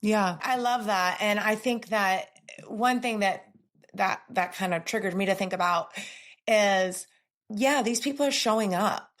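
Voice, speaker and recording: A woman's voice: mid-range voice, unhurried, fairly bright tone. A middle-aged woman hosting an interview in a casual, encouraging way, often repeating words as she starts. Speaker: podcast host and gym owner.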